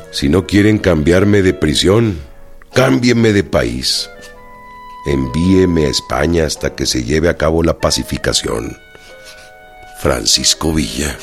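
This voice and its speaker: Super deep slow mexican revolutionary prisoner, proud n aggrieved, radio drama, bit of music behind. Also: male voice. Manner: slow, deliberate, plain-spoken. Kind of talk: radio drama, letters read aloud.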